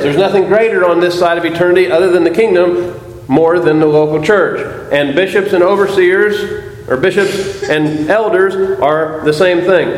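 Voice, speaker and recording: A man preaching a sermon to a congregation, explaining scripture and putting questions to his listeners. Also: fairly high pitch. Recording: echoey hall.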